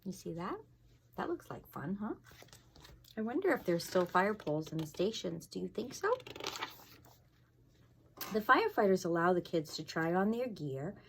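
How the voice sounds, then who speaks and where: A woman's voice: fairly low pitch, big pitch swings, normal speed, pauses often, a little hiss or hum. A woman fire inspector reading a storybook aloud to children, expressively, with casual asides and questions to her young listeners.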